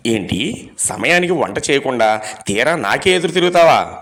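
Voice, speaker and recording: A man playing a mild, dutiful village husband in a moral storybook tale, speaking earnestly and deliberately. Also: medium pitch, sing-song, fairly bright tone. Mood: mock angry. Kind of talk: Telugu moral story voice acting.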